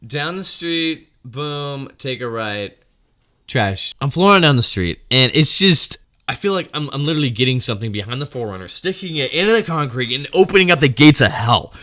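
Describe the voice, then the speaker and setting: A man tells a funny story in a loose, slangy, sweary way. His voice is bright and forceful, and his pitch swings widely as he animatedly acts out quotes and noises. It is casual host talk to his followers. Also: expressive, moderate pace.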